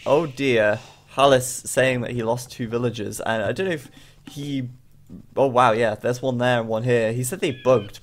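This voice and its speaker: Tired, stuffy-nosed male game caster. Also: medium pitch. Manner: rambling, filler-heavy commentary. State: bunged up with a cold; very tired, late at night. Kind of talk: casting a strategy game match.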